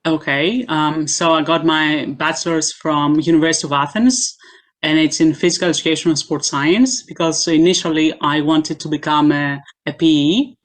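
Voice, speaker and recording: Low-voiced Greek-born woman, measured and hesitant. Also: steady tone. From Greece. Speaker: guest, assistant professor. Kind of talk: casual interview answers. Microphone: video call.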